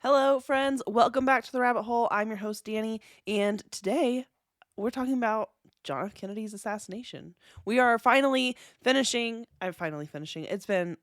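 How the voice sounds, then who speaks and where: A woman's voice: fairly high pitch, fairly quick, dry recording, studio quality. A young woman with a congested voice hosting a podcast, talking in a casual, skeptical way with lots of fillers. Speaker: podcast host. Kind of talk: casual conspiracy podcast narration.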